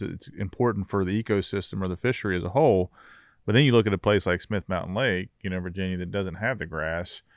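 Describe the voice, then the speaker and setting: A man's voice: low voice, fairly bright tone, no echo. A man in his thirties, a podcast co-host, chiming in with easygoing casual remarks. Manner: easygoing, many affirming murmurs. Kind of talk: casual chat. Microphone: boom-arm studio mic.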